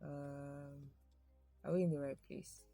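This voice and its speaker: A podcast co-host with a gravelly, creaky voice talks in a relaxed drawl. Pauses are filled with many ums while reading bits of an article aloud. Music plays faintly in the background. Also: mid-range voice. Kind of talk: casual chat, reading from an article.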